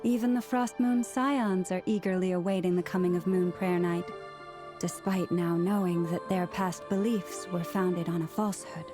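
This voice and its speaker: A woman playing a polite, gentle young festival organiser in a video game, speaking formally and in measured phrases. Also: on the low side, fairly even intonation, music in the background, natural voice. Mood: calm. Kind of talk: animated game dialogue.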